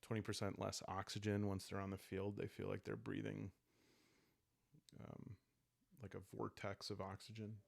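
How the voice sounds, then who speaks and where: A man with a low, gravelly voice talks through a solo roleplaying game in a calm, soft-spoken way. He muses and pauses as he makes up the story, in a very dry, close sound. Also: dry recording. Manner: soft-spoken, calm, musing, halting as he thinks aloud. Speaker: solo roleplayer and show host. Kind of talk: calm, improvised narration of a journaling game for relaxation.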